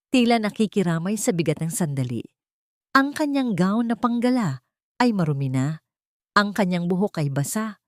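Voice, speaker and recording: A woman's voice: mid-range voice, darkish tone, no room sound, studio quality. A woman reading a scripted dramatic audio story as narrator, in a solemn, melodramatic manner.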